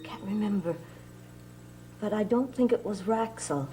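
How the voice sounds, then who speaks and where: An actress playing an anxious, stubborn middle-aged mother in a melodramatic gothic soap opera, with halting, hesitant phrasing. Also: mid-range voice, lightly acted. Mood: hesitant, troubled. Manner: halting, hesitant, repeating words. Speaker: actor in a TV drama. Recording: old TV soundtrack under loud music.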